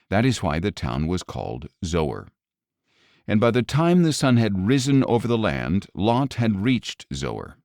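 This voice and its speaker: A man reading scripture as an audio Bible narrator, with measured, expressive storytelling. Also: sits low, dry recording, clean studio sound.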